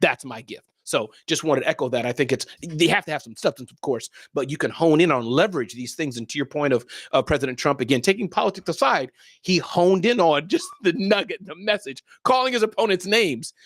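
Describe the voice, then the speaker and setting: A man hosting a live online business show, energetically shouting out viewers and guests by name. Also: fairly high pitch, animated, a little fast, hardly any pauses, no echo, clean studio sound. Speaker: host.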